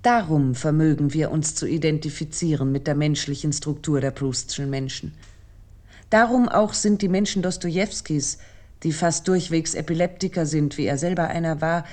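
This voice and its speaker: A woman performing as a thoughtful essayist, narrating a scripted radio essay in a measured, articulate, formal way. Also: low voice, dark tone, close to an everyday voice.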